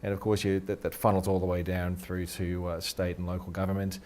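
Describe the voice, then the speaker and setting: A middle-aged Australian man, a research head being interviewed, talks quickly and eagerly and restarts his sentences a lot. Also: low-pitched, monotone, darkish tone. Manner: quick, eager, repeats words when starting sentences. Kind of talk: casual interview talk. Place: radio studio.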